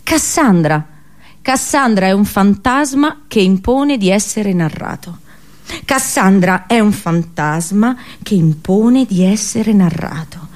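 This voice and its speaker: A woman actor delivering a theatrical radio drama monologue, dramatic and halting, with deliberate pauses and repetitions. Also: mid-range voice, animated, darkish tone. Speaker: actress performing a monologue.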